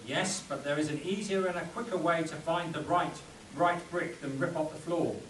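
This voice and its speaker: A man's voice: fairly high pitch, fairly even intonation, normal speed, fairly bright tone, lightly acted. A man playing a commanding adventurer hero in a spoof radio play performed live. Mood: explaining, assured. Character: commanding adventurer hero Doc, leading his men. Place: live stage performance at a convention. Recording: echoey convention room, distant mic, audience noise.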